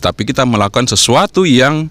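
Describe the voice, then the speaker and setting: A man's voice: mid-range voice, lively intonation, fairly quick, a bit bright, no room sound. A man giving an informal instructional vlog talk, encouraging and repeating his points as he explains. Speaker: amateur radio vlogger and trainer.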